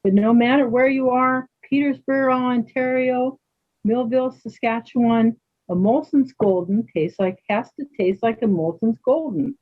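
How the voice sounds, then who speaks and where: Woman playing calm chatty older woman, thin phone-like sound. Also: a little high, measured pace. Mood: musing. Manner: conversational, gently probing with sideways questions. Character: calm, dignified older woman yoga teacher, disarmingly chatty. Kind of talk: readers theater dialogue.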